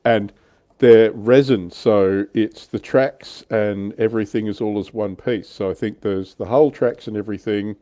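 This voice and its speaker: A man's voice: fairly low pitch, steady tone, unhurried, warm tone, dry recording, clean studio sound. A man hosting a hobby livestream, chatting casually about tabletop wargame miniatures.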